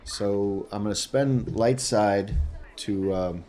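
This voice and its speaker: Male game player, slightly deep voice, informal conversational delivery. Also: slow, dark tone, soft background music. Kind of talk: casual game chat.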